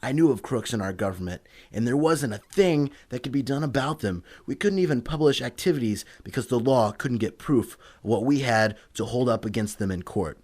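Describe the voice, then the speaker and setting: An actor playing an earnest young publisher who is secretly a vigilante, speaking forthrightly in old-time radio drama style. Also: male voice, medium pitch, animated, fairly quick, hardly any pauses, darkish tone, lightly acted. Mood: resolute.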